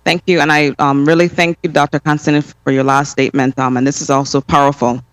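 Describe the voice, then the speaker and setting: A man's voice: fairly high pitch, little pitch movement, fast, a bit bright, clean tone. A man serving as a senator, asking a long question in a committee hearing, hesitant with many fillers.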